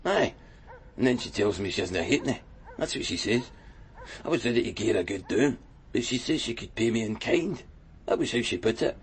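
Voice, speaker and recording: An actor playing a chatty, wistful Glasgow tattooist in a radio crime drama, rambling colloquially with a Scottish accent. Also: male voice, fairly low pitch, expressive, brisk. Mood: frank, unhurried. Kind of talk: naturalistic radio drama acting.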